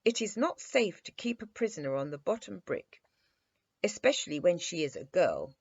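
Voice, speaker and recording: A woman's voice: on the low side, big pitch swings, normal speed, vocal fry, a little mellow, husky, no echo, muffled recording, exaggerated voice. A woman voicing a dignified, commanding small boy who plays at being king, in a children's storybook reading. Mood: imperious. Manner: grand, commanding. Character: dignified, imperious little boy prince playing king.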